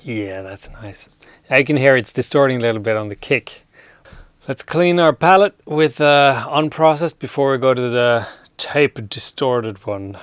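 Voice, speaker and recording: A man hosting a casual hands-on audio gear demo, relaxed and appreciative as he thinks aloud. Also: medium pitch, unhurried. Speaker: host demonstrating audio gear.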